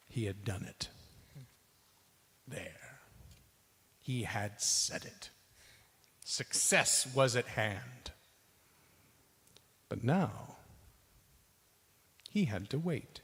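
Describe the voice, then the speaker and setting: Man telling a story slowly in a big hall. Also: fairly low pitch, expressive, a bit bright, breathy edge. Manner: theatrical, deliberate pauses between short phrases. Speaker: cast member in a dramatic reading. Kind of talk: dramatic spoken-word storytelling performance. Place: large synagogue hall. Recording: reverberant large hall.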